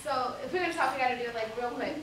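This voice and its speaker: A woman's voice: sits high, racing, a bit bright. A woman on stage playing an impatient young daughter. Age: young adult. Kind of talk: stage play acting. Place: indoor theater stage. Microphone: far camera. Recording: echoey theater, distant mic, faint noise.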